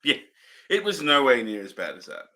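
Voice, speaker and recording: A man's voice: mid-range voice, sing-song, brisk, sharp tone. A man co-hosting a casual movie review chat, musing and hesitant as he weighs his opinions.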